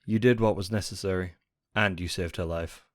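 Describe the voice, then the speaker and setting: Deep male officer, voiced by an actor, dry close sound, composed, loyal and wry, for sci-fi audio drama. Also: fairly even intonation, normal speed, no echo, natural voice. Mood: calm, wry. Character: loyal, composed, quietly teasing military captain.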